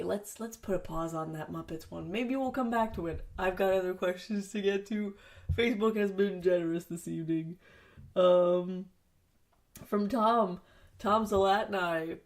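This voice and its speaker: A woman's voice: medium pitch, darkish tone. A woman hosting a solo podcast from a home basement studio, sounding nasal and sniffly with a cold and talking in a rambling, self-mocking way with some swearing. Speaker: podcast host. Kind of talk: solo casual podcast chat.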